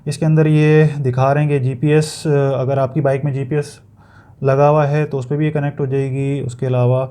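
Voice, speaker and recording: A man's voice: medium pitch, little pitch movement, moderate pace, warm tone, clear, resonant tone. A man with a Pakistani accent giving a casual, explanatory product review, feeling for his words and sometimes repeating them, as in an unboxing video.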